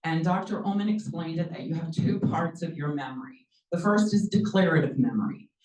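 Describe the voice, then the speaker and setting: A middle-aged woman gives a conversational lecture in a low voice, filling pauses with ums. She sounds a bit far from the mic, with the room's echo around her. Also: a little mellow. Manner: conversational, many ums, acts out quoted dialogue. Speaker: presenter, language teacher. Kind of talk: lecture presentation. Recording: echoey room, mic from afar.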